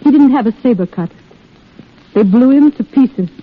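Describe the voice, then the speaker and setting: An actress playing a guarded, wistful young wartime nurse falling in love, in 1930s radio drama style. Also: female voice, a little high, expressive, normal speed, breathy edge. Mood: wistful, grieving. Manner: hesitant, wistful, earnest. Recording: muffled tinny old radio recording, faint music.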